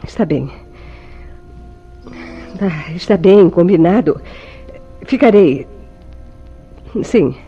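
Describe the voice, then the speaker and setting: A sweetly deceitful young wife in a Brazilian radio soap. Her voice is soft-edged and husky, swinging widely in pitch with tender, anxious, theatrical emotion. She keeps formal diction and a Brazilian accent. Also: animated, very slow, long pauses, muffled recording, faint music behind, full character voice. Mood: anxious, pleading. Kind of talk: old-fashioned Brazilian radio soap acting.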